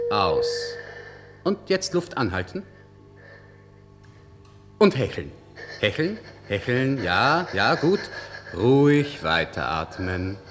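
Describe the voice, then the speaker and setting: An actor playing a jovial, brisk clinic doctor in a German radio play, giving instructions with breezy authority. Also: male voice, fairly low pitch, a bit bright, heavily acted. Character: breezy, bossy, joking male clinic doctor. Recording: faint background noise.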